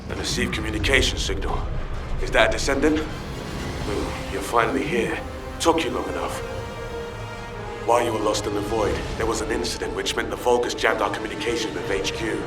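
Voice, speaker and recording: A dogged field operative from a video game, played by a man, speaking in a fairly low voice. His delivery is terse and informal. The sound is roomy and set back, with music behind. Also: fairly quick, recorded from a distance, lightly acted. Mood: focused. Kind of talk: video game dialogue acting.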